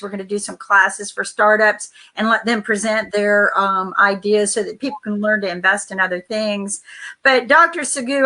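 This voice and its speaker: A woman's voice: medium pitch, sharp tone. A woman hosting a casual talk show over a video call, rambling with many restarts. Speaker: host.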